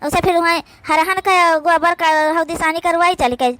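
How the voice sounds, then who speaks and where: Woman acting a shrill-high, bossy young daughter-in-law, Awadhi accent. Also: normal speed, a bit bright, no room sound. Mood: haughty, scolding. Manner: imperious, scolding. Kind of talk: comedy cartoon dialogue.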